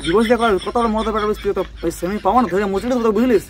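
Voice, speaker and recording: A man's voice: high-pitched, racing, background noise. A man in a heated phone argument, scolding and firing combative questions. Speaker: prank call target.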